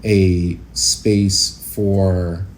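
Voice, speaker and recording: A man talking as a guest in a casual podcast interview, with an informal, reflective manner and halting phrasing. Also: deep voice, level tone, a little hiss or hum. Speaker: interview guest, actor-producer and educator.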